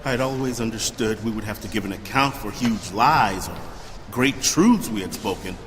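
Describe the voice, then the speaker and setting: A man's voice: on the low side, animated, normal speed, slight background noise, close to an everyday voice. A man playing an anxious, self-doubting former mayor awaiting judgment in an audio drama, earnest and often stammering. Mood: shocked, pleading. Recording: long hall reverb with clear music behind.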